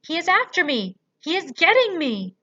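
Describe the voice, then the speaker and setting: A woman's voice: extremely high pitch, little pitch movement, unhurried, a bit bright, lo-fi sound, pitched above the performer's own voice. A woman voicing a huge, brutish male storybook giant, bellowing and pleading in terror with garbled words. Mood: screaming in agony.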